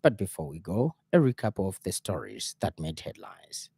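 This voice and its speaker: A man with a Zambian accent reading an evening radio news bulletin in a formal, measured way. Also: deep voice, huge pitch swings, creaky. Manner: formal, measured newsreader delivery. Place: radio studio.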